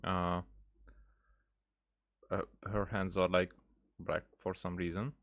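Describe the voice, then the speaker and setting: Male gameplay commentator, somewhat low voice, casual and halting, working out thoughts aloud. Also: level tone, gravelly, fairly bright tone. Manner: hesitant, halting, thinking aloud. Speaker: game streamer.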